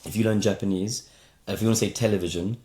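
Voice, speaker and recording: A man's voice: lowish voice, fairly even intonation, fast, mellow, echoey room. A man guest on a podcast, chatting casually and explaining ideas with examples, often restarting and repeating words. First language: Polish speaker of English. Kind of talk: casual interview conversation.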